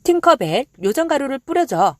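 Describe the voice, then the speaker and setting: A woman voicing a bold, energetic young boy hero with a loud, emphatic delivery for a children's story. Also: sits high, normal speed, no room sound, clean studio sound, pitched above the performer's own voice. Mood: cheerful, commanding.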